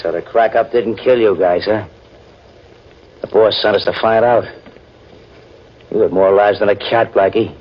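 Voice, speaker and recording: An actor playing a tough, surly American gangster with slangy, ungrammatical talk in a 1940s radio crime drama. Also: male voice, lowish voice, fairly even intonation, unhurried, pauses often. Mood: menacing. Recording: dull lo-fi 1940s radio recording.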